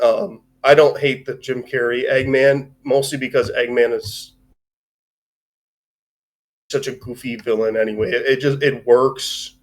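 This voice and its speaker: A man hosting a casual movie review chat, giving opinionated but hedged takes with frequent digressions. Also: medium pitch. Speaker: podcast host.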